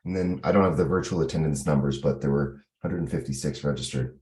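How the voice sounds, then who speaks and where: A man giving an informal update in a work meeting, speaking in a halting, pausing way. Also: a bass voice, darkish tone. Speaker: meeting participant reporting on an event. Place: online video call. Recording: roomy, echoey meeting audio.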